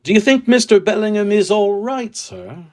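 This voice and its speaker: A man's voice: sits high, normal speed, a little mellow, natural voice. An actor playing an old, deferential and worried male manservant in a period audio drama. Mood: anxious, deferential. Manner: deferential, anxious.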